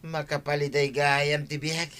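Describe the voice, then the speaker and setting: A man's voice: fairly high pitch, flat delivery, moderate pace, a bit bright, clear, resonant tone, natural voice. A man with an Ilocano accent playing a gloomy, stubborn village husband in a radio comedy drama. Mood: glum.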